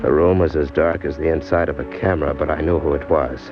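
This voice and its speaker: A man's voice: rumbling low, fairly even intonation, fast. A man acting a tough, slangy, wisecracking drifter who narrates his own crime story in an old-time radio drama. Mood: grim narration. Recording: dull old radio recording with music.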